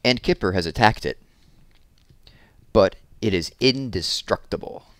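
A man's voice: lowish voice, expressive, unhurried, fairly bright tone, clear, resonant tone, dry recording. A man in his thirties reading children's picture books aloud expressively, with casual asides, on a headset mic indoors. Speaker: read-aloud storyteller. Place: small room at home, indoors.

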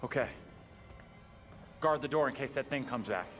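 A man acts an anxious, earnest young man in a horror game. His voice is medium in pitch and tense in manner, with music clearly playing behind it. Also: expressive, moderate pace, natural voice. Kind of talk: dramatic game cutscene acting.

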